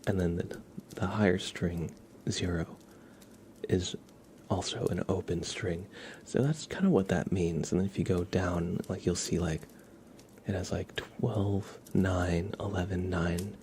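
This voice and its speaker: Low, creaky, soft-spoken ASMR man. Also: a little slow, a little hiss or hum. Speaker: ASMR live streamer. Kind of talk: ASMR, casual informal music explanation to live chat.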